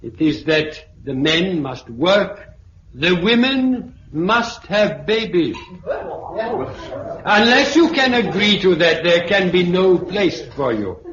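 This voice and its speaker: Slow, pompous elderly British professor, high-pitched. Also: male voice, lively intonation, a bit bright, exaggerated voice. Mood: lecturing. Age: older. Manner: pedantic, deliberate, oratorical. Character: elderly, pompous, unruffled male sociology professor addressing a meeting. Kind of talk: 1950s radio drama acting, public lecture. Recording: muffled, noisy, echoey 1950s radio recording.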